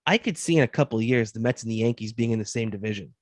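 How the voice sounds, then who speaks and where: A man's voice: on the low side, racing, dry recording. A man in his thirties co-hosting a sports podcast, talking casually and laying out his opinions. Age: 30s.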